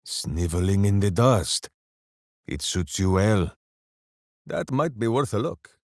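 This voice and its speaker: An actor voicing a hearty, simple-minded, boastful heroic berserker in a video game, with a bombastic, theatrical way of talking. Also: male voice, lowish voice, animated, slow, darkish tone, dry recording, clean studio sound. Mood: hearty, blustering. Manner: bombastic, theatrical, speaks of himself in third person. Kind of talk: video game character voice lines.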